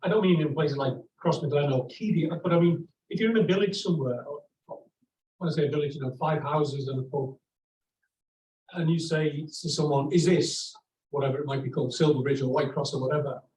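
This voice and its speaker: A man, asking a long question at an academic talk, halting with many restarts. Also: a little high, a little mellow. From England. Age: 60s. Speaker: audience member asking a question. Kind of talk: academic discussion. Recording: echoey room from far off, faint noise.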